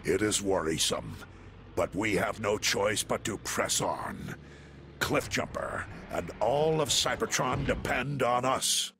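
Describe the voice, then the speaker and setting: A man's voice: on the low side, very animated, slow, fairly bright tone, heavily acted. A man playing a noble, stoic robot commander in a video game, solemn, formal and authoritative. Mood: solemn, resolute. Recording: clearly noisy game-scene background.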